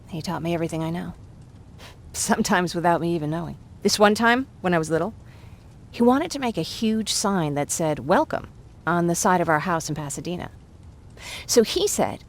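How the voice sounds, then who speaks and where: Chatty, low-voiced young woman survivor. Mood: joking, nervous. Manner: chatty, self-deprecating, apologetic. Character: warm, joking young woman survivor sheltering refugees. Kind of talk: video game dialogue acting.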